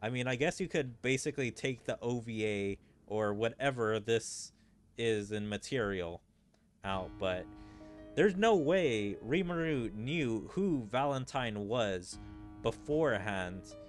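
A man doing casual game commentary as a streamer, rambling and thinking out loud. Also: medium pitch, lively intonation, slow, faint music behind. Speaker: streamer playing through a game. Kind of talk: casual game commentary, reading dialogue aloud.

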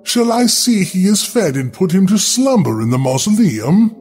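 A man's voice: sits high, big pitch swings, moderate pace, darkish tone, soft background music, pitched above the performer's own voice. A man voicing a dutiful thirteen-year-old undead servant with polite, formal speech in a horror story. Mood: respectful.

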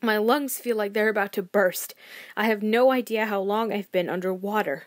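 A woman's voice: mid-range voice, moderate pace, dry recording, clean studio sound, lightly acted. A woman reading a first-person story as a sensitive young child, anxious and loyal, in an emotive, naive, confiding way. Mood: earnest, determined.

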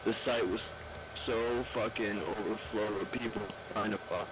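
A man's voice: lowish voice, steady tone, normal speed. A man chatting casually in a gamer voice chat, laid-back and slangy, swearing freely. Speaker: raid organizer in an online game group. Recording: noisy online gaming voice chat.

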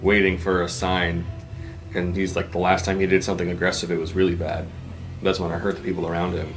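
A man with a deep voice plays a tabletop game. He casually narrates his character's actions while music plays clearly behind him. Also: completely flat delivery, a little fast. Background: background music.

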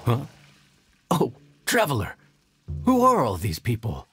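Lively, affable young chef. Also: male voice, medium pitch, unhurried. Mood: flustered. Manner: affable, folksy, slightly nervous. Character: friendly, humble young inn chef, eager and flustered. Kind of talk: video game voice acting. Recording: game music behind the voice.

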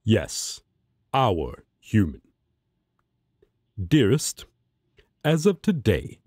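A man's voice: fairly low pitch, darkish tone, no room sound, clean studio sound, heavily acted. A man playing an earnest, righteous, devoted guardian angel in an audio roleplay, speaking formally and solemnly.

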